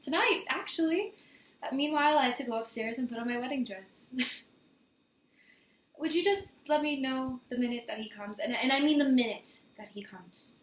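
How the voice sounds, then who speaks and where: A woman performing a stage monologue as an earnest, flustered young woman in love, speaking haltingly with stammers. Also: sits high, close to an everyday voice. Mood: giddy, excited. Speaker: amateur actor performing a monologue. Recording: echoey room with faint noise.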